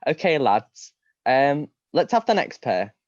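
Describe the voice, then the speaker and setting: A man with a mid-pitched voice reads a comic story aloud to a live stream. He sounds amused, tends to laugh through his lines and often stumbles and restarts. The sound is dry and slightly dull. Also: very animated, measured pace, no room sound. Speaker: reader of comic fan fiction.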